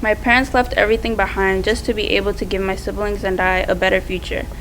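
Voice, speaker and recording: A woman's voice: medium pitch, little pitch movement, fast talker, fairly bright tone, slight background noise, low-quality recording. A teenage young woman reading a prepared personal speech as a student presenter. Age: teens.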